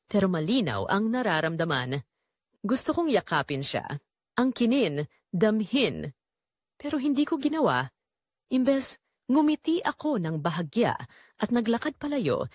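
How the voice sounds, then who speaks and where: Close, dry-voiced mother confessing, lively intonation woman acting. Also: medium pitch, no echo. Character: guilt-ridden, secretly longing mother of a bride. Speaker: narrator of an audio drama. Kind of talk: scripted first-person dramatic narration.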